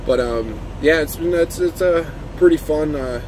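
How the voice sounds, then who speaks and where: A man's voice: on the high side, a little slow. A man who drives trucks talks in a casual, unscripted vlog, rambling informally as he explains things from his parked truck. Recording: clear steady vehicle and engine-like noise.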